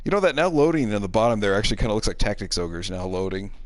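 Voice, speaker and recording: A man's voice: lowish voice, very animated, rapid-fire, no echo. A man hosting a game stream with casual, sarcastic and irreverent chat. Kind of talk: casual chat over gameplay.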